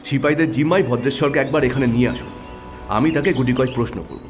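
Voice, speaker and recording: A man's voice: medium pitch, quick pace, soft background music, close to an everyday voice. A man voicing a shrewd, decisive detective in an audio story, with a stern, assertive manner. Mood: stern, urgent. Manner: assertive, explanatory.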